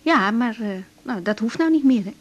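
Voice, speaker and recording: An actress playing a breezy, decisive young woman doctor in a naturalistic radio drama, talking in a conversational, gently teasing way. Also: a little high, lively intonation, moderate pace, dull audio, a little hiss or hum, lightly acted. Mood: brisk, explaining. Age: young adult.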